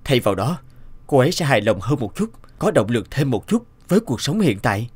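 An actor voicing a young, modest man who talks in an earnest, soft-spoken way in a cartoon. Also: mid-range voice, big pitch swings, fairly quick, slightly rough. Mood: calm. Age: young adult. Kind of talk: cartoon voice acting. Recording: music under the voice. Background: background music.

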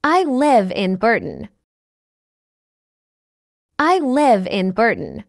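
A woman's voice: on the high side, huge pitch swings, very slow, creaky, dry recording, clean studio sound. A woman reading a simple English dialogue for learners, carefully enunciated and repeating each line. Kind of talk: slow scripted dialogue reading.

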